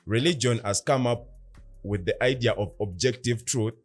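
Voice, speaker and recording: A man's voice: mid-range voice, moderate pace, faint music behind. A man in casual philosophical podcast talk, explaining earnestly and at length with rhetorical questions. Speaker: podcast co-host.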